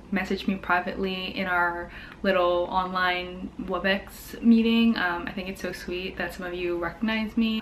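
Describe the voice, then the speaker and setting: A woman's voice: mid-range voice, slight background noise, rough recording. A woman in her twenties from Hawaii, a dental student chatting casually in her vlog with lots of fillers. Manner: chatty, upbeat, many fillers like "um" and "like". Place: home, indoors.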